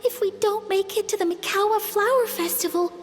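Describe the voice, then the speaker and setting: A woman voicing an excitable, earnest, childlike little creature in a video game. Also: very high-pitched, a little fast, big-room sound, soft background music. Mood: anxious, devoted.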